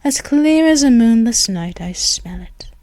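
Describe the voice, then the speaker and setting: A woman voicing a dominant, possessive female vampire in an ASMR roleplay, speaking softly and often in a hushed whisper close to the mic. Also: fairly high pitch, lively intonation, a little slow, dry recording. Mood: seductive. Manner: commanding and purring. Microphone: close mic, intimate.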